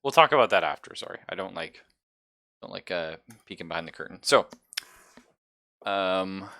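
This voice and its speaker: A man's voice: low-pitched, expressive, normal speed, gravelly, sharp tone, dry recording, studio quality. A man running a tabletop roleplaying game as game master, narrating casually.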